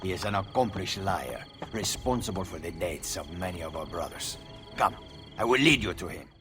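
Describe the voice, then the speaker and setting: Confident Italian rogue for game cutscene, lowish voice, score underneath. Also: male voice, animated, normal speed, over music. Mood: grave. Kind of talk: dramatic video game cutscene acting.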